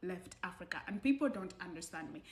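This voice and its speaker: A woman's voice: lowish voice, animated, a little fast, roomy sound. A young woman from Uganda, talking earnestly to camera in a casual vlog and stressing her points with rhetorical questions. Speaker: vlogger.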